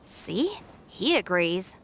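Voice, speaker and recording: A woman's voice: mid-range voice, very animated, drawn-out words, a bit bright, slight background noise, heavily acted. A woman voicing a sensible, exasperated young gang deputy in a video game, dry and matter-of-fact. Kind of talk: English video game voice acting. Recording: background music behind voice.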